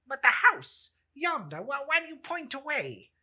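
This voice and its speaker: A woman's voice: high voice, very animated, normal speed, pushed and bright, pitched above the performer's own voice, full character voice. A woman playing a frightened old male miser in a dramatic storybook reading, stammering and beseeching. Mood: agitated.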